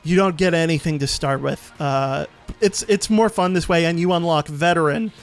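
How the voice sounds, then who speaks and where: A man's voice: on the high side, moderate pace. A man giving casual gameplay commentary as a let's play host, explaining in a rambling, hesitant way. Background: game menu music.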